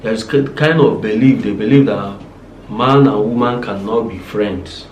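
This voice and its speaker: A man's voice: on the low side, a little slow, darkish tone, dull audio. A man with a Nigerian accent, chatting casually as co-host and pressing his point with emphatic rhetorical questions. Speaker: co-host, husband. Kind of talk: casual chat. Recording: echoey room, faint noise.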